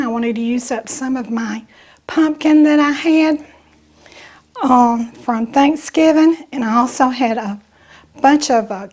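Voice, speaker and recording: A woman with a Southern US accent and a slightly raspy, bronchial voice, chatting casually as she talks through a home cooking demonstration. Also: on the high side, darkish tone. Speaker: host of a home cooking channel.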